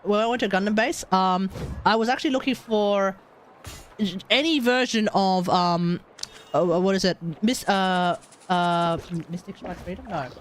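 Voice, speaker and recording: A man chatting casually and excitably on a gaming livestream, rambling and correcting himself as he goes. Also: sits high, a little slow, a little hiss or hum. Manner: animated, rambling, exclamatory, self-correcting. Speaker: live streamer.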